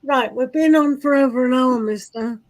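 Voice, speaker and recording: A woman's voice: sits high, dry recording. A woman, co-hosting a live stream of oracle card readings and chatting in a playful, teasing way. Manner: teasing, playful and quick to laugh. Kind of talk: casual chat on a live stream.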